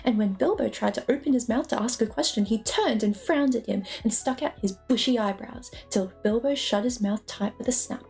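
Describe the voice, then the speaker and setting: A woman's voice: on the high side, brisk, gravelly, few pauses, a little mellow, soft background music. A woman streamer reading a storybook aloud as dramatic narration and chatting to viewers.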